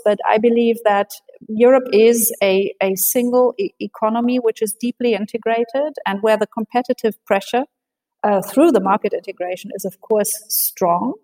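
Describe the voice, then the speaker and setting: A woman speaking as an expert guest in an interview, answering in a measured, analytical way with frequent fillers and restarts. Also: fairly high pitch, steady tone, warm tone, poor audio.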